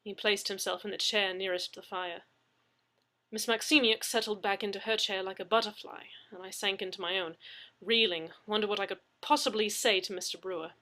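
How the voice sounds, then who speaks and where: A woman's voice: medium pitch, fairly even intonation, a little fast, sharp tone. A woman reading scripted first-person fiction aloud as a storyteller. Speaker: story reader.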